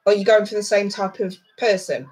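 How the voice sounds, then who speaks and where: A woman's voice: mid-range voice, brisk, darkish tone, husky. Blunt, stuffed-up woman reading tarot. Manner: blunt, swears freely, chatty asides. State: stuffed-up nose. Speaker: tarot reader. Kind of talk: casual tarot card reading.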